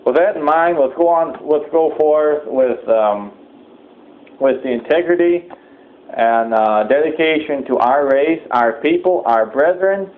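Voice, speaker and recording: A man preaching a sermon-like address in a solemn, exhorting manner, with halting hesitations as he goes. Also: on the high side. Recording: thin phone-like sound, clear background noise.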